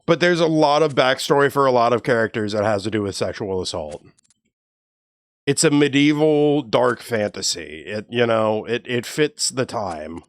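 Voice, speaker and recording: A young man with a low, even voice, chatting casually and swearing freely with his live stream chat. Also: moderate pace, dry recording, clean studio sound. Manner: laid-back, profane, joking with chat.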